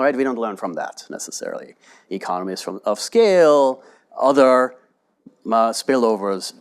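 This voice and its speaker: A man moderating a panel discussion at a lecture hall, talking in a lively, animated way and often checking in with "right?". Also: medium pitch. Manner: animated, asks rhetorical questions, often adds "right?".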